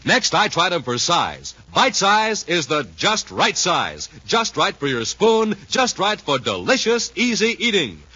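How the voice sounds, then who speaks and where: A man's voice: high-pitched, wildly swooping pitch, unhurried, hardly any pauses, pushed and bright, breathy edge. A man with an American accent doing scripted 1950s radio announcing and narration in an emphatic, enthusiastic showman style. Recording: muffled, faintly noisy 1950s radio recording.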